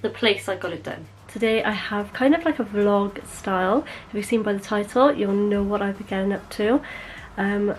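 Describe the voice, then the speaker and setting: A woman's voice: medium pitch, slight background noise, rough recording. A young woman, chatting to camera in a casual vlog, bubbly and rambling, correcting herself as she goes. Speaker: beauty vlogger.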